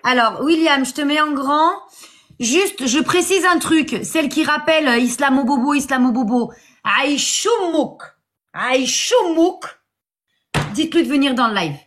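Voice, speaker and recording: High-voiced woman hosting a live debate. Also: lively intonation, a little slow, a bit bright. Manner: hesitant, many fillers, calls speakers up. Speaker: live stream host.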